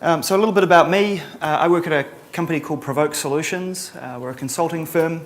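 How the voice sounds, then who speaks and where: A man's voice: fairly high pitch, fast talker. Kiwi guy presenting, middle-aged, laid back, lots of ums, dry jokes. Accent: New Zealand. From New Zealand. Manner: casual, many ums and uhs, dry humor. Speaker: conference presenter. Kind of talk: relaxed conference talk.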